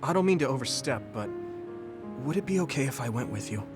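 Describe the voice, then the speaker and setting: An actor playing a polite, caring young soldier in a video game, speaking gently and earnestly. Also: male voice, medium pitch, expressive, fast talker, close to an everyday voice. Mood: gentle concern. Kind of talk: English video game voice acting. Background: soft background music.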